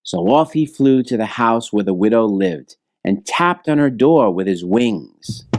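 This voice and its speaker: A man's voice: mid-range voice, a little mellow, a little hiss or hum. A man reading a scripted children's story aloud as the narrator.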